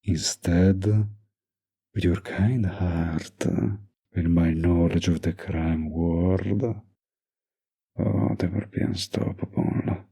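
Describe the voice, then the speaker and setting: A male actor plays a mafia boss's heir and devoted boyfriend in an intimate audio roleplay. His voice is very low and dark, with a heavy gravelly rasp. He speaks slowly and tenderly, sure of himself and possessive of his sweetheart. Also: steady tone, heavily acted. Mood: dreamy, tender. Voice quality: low gravelly, breathy murmur. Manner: slow, tender, possessive sweet talk with pet names. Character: doting, dominant young mafia heir boyfriend. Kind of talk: intimate roleplay audio drama, scripted monologue.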